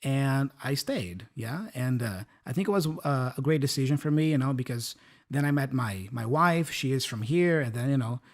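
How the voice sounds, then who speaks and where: A man's voice: medium pitch, animated, normal speed. A man in his thirties from Brazil with a Brazilian Portuguese accent, speaking English as a second language as a podcast co-host. He explains words in a friendly way and keeps checking in with his listener. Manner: friendly, often checks in with "right?" and "yeah?". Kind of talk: casual teaching chat.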